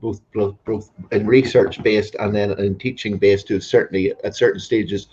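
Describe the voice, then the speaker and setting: Low-voiced interview host on muffled audio. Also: male voice, fairly even intonation, normal speed, darkish tone. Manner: hesitant, affirming with short backchannels. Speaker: host, podiatrist. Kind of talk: casual interview. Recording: dull lo-fi audio.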